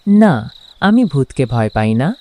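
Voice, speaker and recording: A man's voice: medium pitch, sing-song, unhurried, darkish tone. A man voicing a brave, curious and friendly young village schoolboy in a cartoon, earnest and inquisitive. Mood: eager, friendly. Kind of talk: cartoon voice acting.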